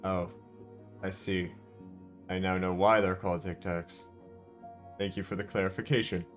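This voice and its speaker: Male game streamer: deep voice, unhurried, laid-back casual commentary, game music underneath. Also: little pitch movement. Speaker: livestreamer playing a video game.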